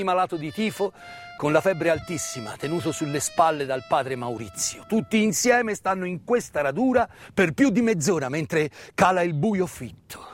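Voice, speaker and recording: A man giving a dramatic theatrical narration of a wartime story, measured and emphatic, with pauses and hushed phrases. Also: high voice. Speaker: stage actor and storyteller. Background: live musical accompaniment.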